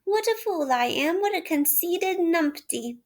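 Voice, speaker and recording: A woman's voice: very high voice, lively intonation, measured pace, pitched above the performer's own voice. A woman voicing a conceited male toad disguised as a washerwoman, by turns feeble, wheedling and boastful. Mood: panicked.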